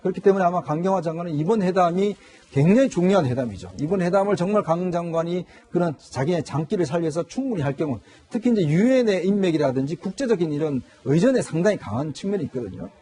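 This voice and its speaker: A man speaks as a news panel commentator. His voice sits a little high, with a soft, dark edge and a rough, husky grain, and he moves along quickly. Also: expressive.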